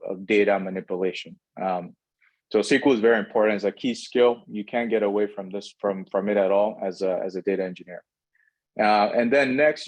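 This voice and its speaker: A man with a fairly low voice gives an orderly, step-by-step explanation at an online info session. He keeps a steady pace and uses some fillers. It sounds like a video call. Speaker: presenter.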